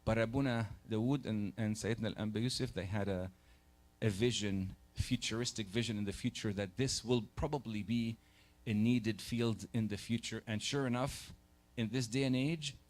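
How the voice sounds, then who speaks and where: A man in his forties, born in Egypt, giving a conversational church lecture on faith and doubt to a live group, often pausing to rephrase. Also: on the low side, fairly even intonation, darkish tone. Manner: conversational, pauses to rephrase, takes questions. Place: church hall.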